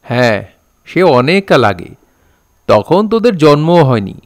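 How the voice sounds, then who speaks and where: A man playing a patient elder telling a folk tale in deliberate, old-fashioned Bengali diction in an audio drama. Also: fairly high pitch, sing-song. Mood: storytelling. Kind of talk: storytelling within an audio drama.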